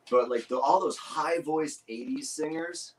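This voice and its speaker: A man with a low voice, a guest musician chatting casually and animatedly, full of "you know" and "right". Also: slow pace. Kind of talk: casual chat.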